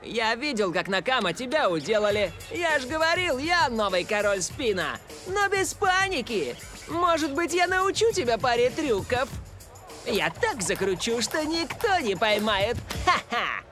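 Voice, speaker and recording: Casting: boastful young ping-pong rival, very lively intonation, cartoon swagger. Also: male voice, very high-pitched, a little slow, exaggerated voice. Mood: taunting. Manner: swaggering, taunting. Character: cocky, boastful young male table tennis showoff. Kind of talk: animated cartoon dubbing. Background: music.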